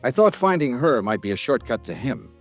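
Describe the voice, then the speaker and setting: A man's voice: medium pitch, sing-song, a little fast, faint music behind. An American man playing a tough, wry insurance investigator in a 1950s radio drama, speaking in a clipped, dry, matter-of-fact way. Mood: probing, matter-of-fact. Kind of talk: 1950s radio detective acting, first-person narration.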